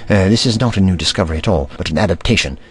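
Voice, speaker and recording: A man playing an eccentric, brainy time traveller in an audio drama, kindly but testy, musing aloud in a wordy, gently pedantic manner. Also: low voice, rapid-fire, slightly rough. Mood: puzzled, determined.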